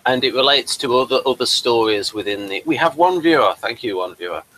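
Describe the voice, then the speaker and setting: A man's voice: mid-range voice, expressive, a little fast, fairly bright tone, a little hiss or hum, poor audio. A man hosting a casual interview, rambling and chatty and addressing viewers as folks. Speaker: host and playwright.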